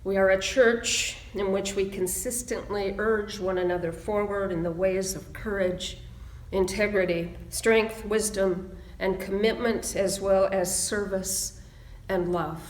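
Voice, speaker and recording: A woman minister leading a reflective prayer and meditation in a solemn, measured way with deliberate phrasing. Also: medium pitch, fairly even intonation. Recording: roomy, echoing sound.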